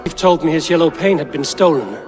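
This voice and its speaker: An actor playing a blunt, calm Viking raider hero in a video game, speaking tersely and directly. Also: male voice, a little high, fairly quick, soft background music, lightly acted. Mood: matter-of-fact. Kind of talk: video game character acting.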